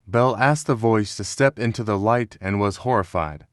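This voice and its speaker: A man's voice: lowish voice, normal speed, no echo, studio quality. A man reading scripted narration as the narrator of a children's storybook.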